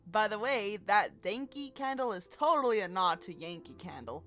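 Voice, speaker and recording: A woman's voice: mid-range voice, animated, moderate pace, a bit bright. A woman co-hosting a video, reading scripted commentary with casual asides over background music. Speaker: video co-host. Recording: music playing behind the voice. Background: music bed.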